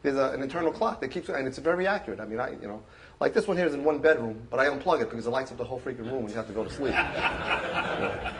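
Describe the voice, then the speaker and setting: Halting, stop-start man at a club talk. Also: mid-range voice, animated, background noise. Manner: halting, many restarts and fillers. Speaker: club member presenting his collection. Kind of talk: informal show-and-tell talk. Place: club meeting room. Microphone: handheld microphone.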